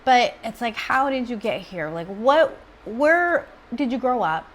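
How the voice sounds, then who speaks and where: A woman hosting an interview show, giving an upbeat introduction and encouraging her guest with quick responses. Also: fairly high pitch, sing-song, a little hiss or hum. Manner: bubbly, encouraging, quick back-channel responses.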